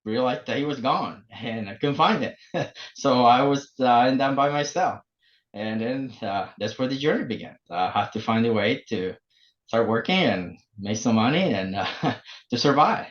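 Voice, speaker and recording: A man in his forties from El Salvador, speaking English, telling his story as a podcast guest with many pauses and fillers. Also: medium pitch, expressive. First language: Spanish. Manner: halting, many fillers and restarts. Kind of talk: casual interview. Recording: muffled lo-fi remote audio.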